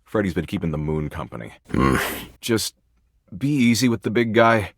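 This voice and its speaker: A man's voice: lowish voice, very animated, moderate pace, no echo, studio quality. A man voicing a video game embodiment of existential dread, a jaded, foul-mouthed slacker with a flippant, laid-back, slangy drawl. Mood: laid-back, grudgingly caring. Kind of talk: video game character voice lines.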